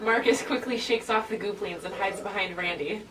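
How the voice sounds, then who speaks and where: A woman's voice: mid-range voice, moderate pace. A woman reading stage directions in an informal, amused amateur script read-through. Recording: distant mic, noisy room.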